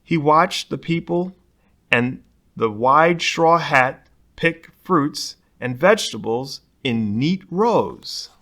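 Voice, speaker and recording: A man's voice: a little high, lively intonation, takes it slowly, sharp tone. A man reading a children's picture book aloud expressively, putting dramatic emphasis on key words. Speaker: storybook reader.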